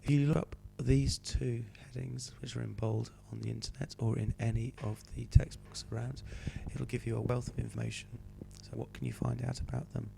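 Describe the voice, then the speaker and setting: A male teacher with a cold, hoarse and croaky, patiently talking students through a homework sheet. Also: medium pitch, little pitch movement, a little fast, hardly any pauses, dark tone, slight background noise, rough recording. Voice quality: croaky, slips into whispery breaks. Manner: patient, explaining step by step. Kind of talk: homework help walkthrough.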